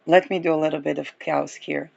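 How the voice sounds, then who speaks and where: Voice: medium pitch, fairly even intonation, a little fast, vocal fry, a little mellow, dull audio. A person giving a casual, rambling astrology talk, chuckling often between remarks. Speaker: astrology reader.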